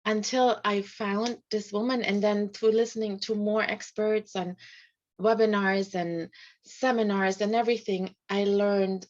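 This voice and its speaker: Soft-spoken middle-aged woman on a video call. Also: mid-range voice, fairly even intonation, a little slow. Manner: soft-spoken, often laughs lightly. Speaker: guest, life coach. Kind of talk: casual interview chat. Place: home room. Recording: slightly dull video call audio.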